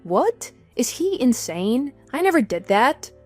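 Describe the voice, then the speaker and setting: A woman's voice: high-pitched, big pitch swings, takes it slowly, exaggerated voice. A woman playing a loyal, easily exasperated wife in a scripted online radio drama. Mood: indignant. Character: exasperated, loyal wife, indignant but trusting. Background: background music.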